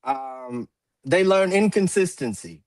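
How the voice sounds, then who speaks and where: A man in his forties hosting a roundtable talk show, speaking in a measured way with many fillers and restarts and the odd throat clear. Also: mid-range voice, expressive, dry recording. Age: 40s. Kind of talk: roundtable discussion.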